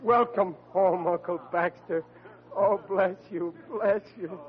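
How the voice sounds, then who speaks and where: A man's voice: high-pitched, slow pace. An actor playing an anxious, bumbling working-class family man in old radio comedy, stammering and speaking in plain slangy words. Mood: relieved. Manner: stammering, informal, slangy diction. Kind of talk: 1940s radio comedy acting. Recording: dull old radio recording.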